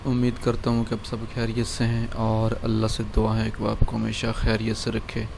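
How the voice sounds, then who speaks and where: A man, hosting a screen-recorded software tutorial and calmly walking through a design file with lots of filler words. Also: lowish voice, gravelly, background noise. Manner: calm, unhurried explaining with many fillers. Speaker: channel host showing a design file.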